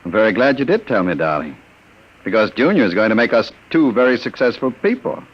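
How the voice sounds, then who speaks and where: An actor playing a smooth, menacing gangster who talks in a cold, mocking way in a 1950s radio drama. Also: male voice, fairly low pitch, very animated, a little fast. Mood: seductive. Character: smooth menacing male gangster, middle-aged. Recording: muffled old radio broadcast.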